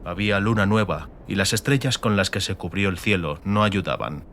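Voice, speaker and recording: Male audiobook narrator, low pitch, dramatic fantasy storytelling, faint rumbling noise behind. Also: normal speed, a bit bright.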